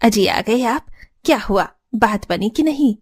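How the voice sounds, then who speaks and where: A woman voicing a gentle middle-aged mother in a cartoon family story, speaking in a measured, motherly way. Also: fairly high pitch, animated, slightly rough. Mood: concerned.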